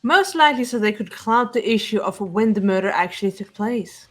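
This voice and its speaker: A woman plays a cool young female detective in a visual novel game. She speaks at a medium pitch, deliberate and assertive as she lays out deductions, with music underneath. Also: expressive, a bit bright. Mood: explaining. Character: cool, analytical teenage girl detective. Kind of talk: fan-voiced visual novel game dialogue. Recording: music behind the voice.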